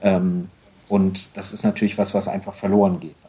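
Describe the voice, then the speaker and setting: A man's voice: low-pitched, little pitch movement, moderate pace, dark tone, husky. A man co-hosting a casual podcast chat, talking at length with many restarts and hesitations. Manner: rambling, frequent restarts and hesitations. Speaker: podcast co-host.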